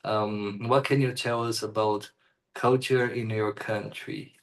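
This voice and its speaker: A man's voice: fairly low pitch, steady tone, creaky. Man hosting an interview, friendly. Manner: friendly, brief reactions, halting phrasing. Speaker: interviewer, host. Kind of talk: interview questions.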